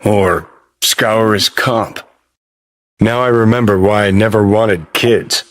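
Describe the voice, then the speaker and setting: Cynical American rock rebel, video game character, low-pitched, slow contemptuous drawl, abrasive. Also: male voice, fairly even intonation, a bit bright. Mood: scornful, sarcastic. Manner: sardonic, profane, contemptuous drawl.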